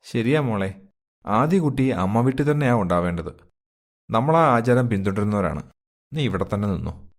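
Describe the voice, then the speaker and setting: A man's voice: fairly low pitch, lively intonation, normal speed, pauses often. Man acting an earnest, loving father. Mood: affectionate, happy. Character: loving, protective middle-aged father in a moral story.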